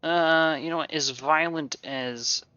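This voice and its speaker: A man's voice: on the high side, steady tone, slow, clean tone, muffled recording. A man streaming a video game with casual, wisecracking commentary full of playful bravado. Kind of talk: casual live gameplay commentary. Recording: soft game music behind.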